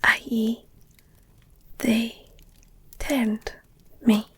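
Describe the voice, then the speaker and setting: A woman playing an affectionate, candid werewolf girlfriend in an intimate ASMR audio roleplay, speaking tenderly and hesitantly in a breathy whisper. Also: a little high, flat delivery, fairly bright tone, no room sound, full character voice. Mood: confiding, hesitant. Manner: slow, tender, hesitant, confiding. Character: affectionate werewolf girlfriend, gentle, candid, wistful about her past.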